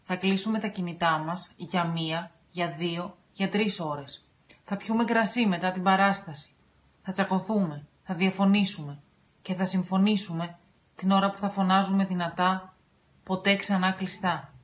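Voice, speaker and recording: A woman in her thirties recites a prepared declaration phrase by phrase. Her voice is a little low, and she is recorded on a phone in her living room. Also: steady tone. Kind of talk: scripted declaration in short phrases.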